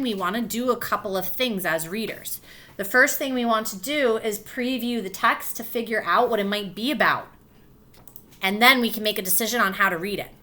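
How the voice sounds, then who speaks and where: A woman teacher reading a picture book aloud to her class, stopping to explain and check understanding. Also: a little high. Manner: warm encouraging teacher voice, pausing to explain.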